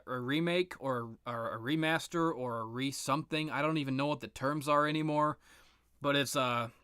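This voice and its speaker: A man's voice: medium pitch, fairly bright tone, clean tone, no echo. A man giving casual, unscripted opinion commentary on video games in a blunt, sardonic, sweary way. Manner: blunt, sardonic, profane ranting. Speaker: video game commentator, host.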